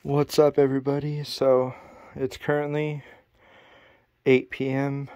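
A man's voice: medium pitch, completely flat delivery, measured pace, darkish tone, no room sound. A young man vlogging alone from a cold abandoned cabin at night, nervous and self-deprecating, filming on a handheld camera. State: cold and sleepy. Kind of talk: casual vlog to camera.

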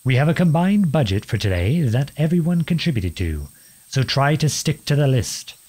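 A man acting a charming, teasing young outlawed prince in an ASMR-style romance roleplay, with a husky voice and a courtly, playful manner. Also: on the high side, sing-song, moderate pace, a little mellow. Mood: brisk, commanding. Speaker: audio roleplay performer.

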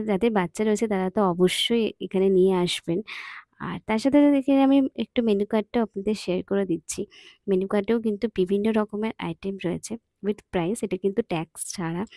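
A woman's voice: medium pitch, mellow, dry recording, studio quality. A woman narrates a casual vlog, addressing viewers directly in a conversational way. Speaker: vlogger.

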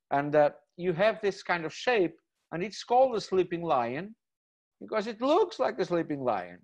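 Enthusiastic man lecturing. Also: fairly high pitch. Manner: enthusiastic, repeats words, frequent uh fillers. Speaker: gemmologist giving a webinar lecture. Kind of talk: informal slide lecture. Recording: slightly dull webinar audio.

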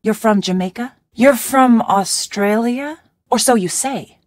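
Woman as a polite young detective, higher voice. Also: unhurried, darkish tone, dry recording, clean studio sound, lightly acted. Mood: doubtful. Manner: casual, polite, direct. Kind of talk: short video game dialogue lines.

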